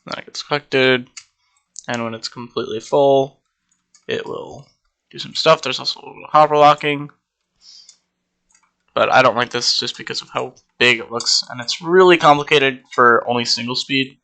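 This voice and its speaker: A man's voice: mid-range voice, moderate pace, creaky, pauses often, bright, forceful tone. A young man casually walking through a game build, rambling with many ums and sounding slightly congested. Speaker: Minecraft server player. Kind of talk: casual gameplay walkthrough.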